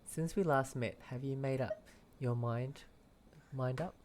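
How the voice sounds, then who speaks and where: Casual male game streamer, halting and offhand. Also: mid-range voice. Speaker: game streamer reacting to story scenes. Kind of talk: casual gameplay commentary. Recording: faint noise, clicks.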